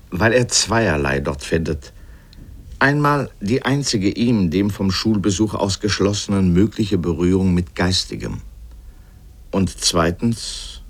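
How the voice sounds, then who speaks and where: Low-voiced man, sardonic radio essayist. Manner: sardonic, pointed commentary. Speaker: radio essay narrator, commentator. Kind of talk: scripted literary radio essay.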